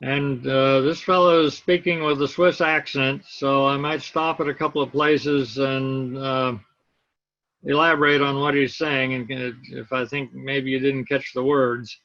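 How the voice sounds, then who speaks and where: A man teaching an online class over a laptop video call, explaining step by step and pausing to look for things on his screen. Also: mid-range voice, little pitch movement, fairly bright tone, highs cut off. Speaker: instructor.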